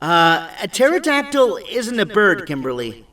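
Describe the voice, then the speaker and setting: A man's voice: high-pitched, big pitch swings, measured pace, bright, forceful tone, heavily acted. A man playing an earnest, brainy teenage boy hero in an audio drama. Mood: casual, pedantic. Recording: distant mic, faint music.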